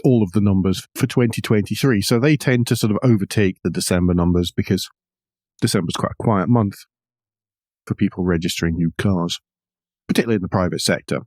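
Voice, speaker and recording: A man hosting a podcast, explaining the news in a measured way with wry asides. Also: deep voice, darkish tone, dry recording. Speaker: podcast host. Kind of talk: news discussion with commentary.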